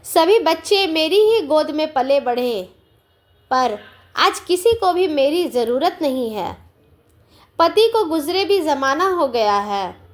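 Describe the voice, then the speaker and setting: A woman plays a self-reliant old tea seller in a moral tale. She is deferential and plain-spoken, with a high, lively voice. Her pace is slow and her temper cheerful and accepting. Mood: resigned, contented.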